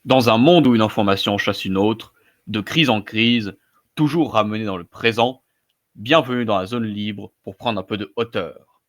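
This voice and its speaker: Male announcer, low-ish voice, measured scripted intro. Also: fairly bright tone. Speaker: show announcer.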